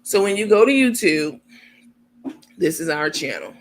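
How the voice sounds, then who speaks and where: A man's voice: fairly high pitch, big pitch swings, moderate pace, fairly bright tone, slight background noise, rough recording. A man hosting a casual livestream, chatting with his viewers and walking them through his screen step by step, with lots of ums and an easy irritation at small annoyances. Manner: chatty, explains step by step while sharing screen; many filler ums, gets irritated at small details. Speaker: channel host.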